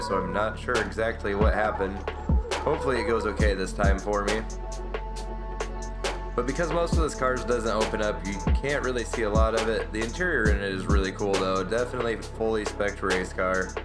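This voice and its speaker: A man with a sore, fading voice giving casual gameplay commentary as a YouTuber, rambling through car details. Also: lowish voice. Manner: relaxed, rambling car-enthusiast explanations. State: sore throat, voice going in and out. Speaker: gaming YouTuber. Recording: loud music behind voice.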